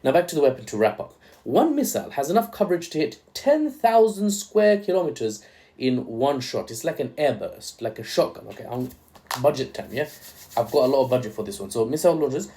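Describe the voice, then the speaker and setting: A man's voice: mid-range voice, sing-song, a little fast, warm tone. Man, young, from Bangladesh, news commentator, irreverent, jokey, mid-range voice. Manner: joking, irreverent asides.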